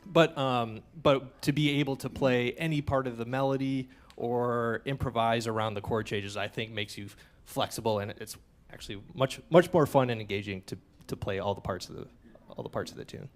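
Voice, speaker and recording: A man's voice: medium pitch, moderate pace. A man, a trombonist, explaining ensemble playing at a workshop into a stage mic, thoughtful and hesitant with many fillers. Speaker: trombonist in the band. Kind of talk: workshop talk. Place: community center hall.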